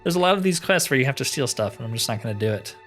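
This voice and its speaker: A man's voice: medium pitch, animated, rapid-fire, a bit bright, soft background music. A man giving casual playthrough commentary as a gaming host, thinking aloud in short, informal remarks.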